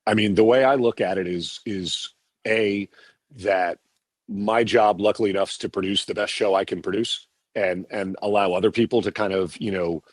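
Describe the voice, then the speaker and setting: A middle-aged man with a low voice explains the work behind a live TV show. He talks in loose, rambling runs, doubling back on his words. The sound is dry and close. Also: steady tone, normal speed, dry recording. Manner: rambling with many restarts and repeated words. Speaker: TV producer guest. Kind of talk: podcast interview answers.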